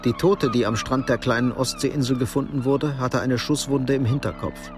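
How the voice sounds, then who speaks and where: A man's voice: mid-range voice, fairly even intonation, moderate pace. Male radio drama narrator over noisy ambience. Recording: clear background noise.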